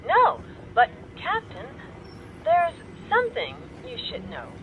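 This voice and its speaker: Muffled phone line, high animated actress as a woman hostage, uneasy, earnest, audio drama. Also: takes it slowly, exaggerated voice. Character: uneasy toy store woman held hostage. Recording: muffled phone line, faint background noise.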